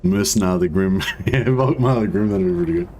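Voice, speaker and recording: Deep-voiced man musing over gameplay. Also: brisk, a little hiss or hum. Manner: rambling, relaxed, many fillers. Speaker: gaming streamer. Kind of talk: casual gameplay commentary. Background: faint game music.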